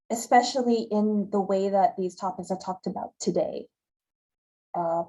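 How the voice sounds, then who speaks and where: A woman's voice: mid-range voice, warm tone, highs cut off. A woman from Hawaii giving a conversational talk over slides, with fillers and repeated words. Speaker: guest speaker giving a talk.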